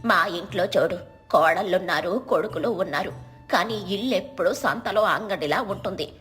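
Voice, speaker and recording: A woman's voice: mid-range voice, normal speed, a bit bright, soft background music, natural voice. A woman voicing a timid, worried old village woman who sells vegetables and complains fretfully.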